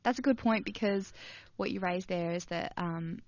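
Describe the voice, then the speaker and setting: A woman hosting a radio health program, a little hoarse from losing her voice, chatting with her guest in a friendly way. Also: medium pitch, moderate pace, a little mellow, dry recording, muffled recording. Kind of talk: radio interview.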